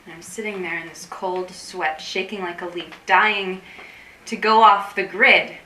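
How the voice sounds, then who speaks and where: A roomy, slightly distant recording with faint noise carries an actress playing an anxious, intense woman. Her voice is bright and forceful, and she confides in long, dramatic, wordy lines, as in a staged reading of a play. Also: lowish voice, fairly even intonation, a little slow. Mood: restless, earnest. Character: anxious, intense woman craving digital disconnection. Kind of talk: theatrical staged reading, monologue-like dialogue. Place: room at a live staged reading.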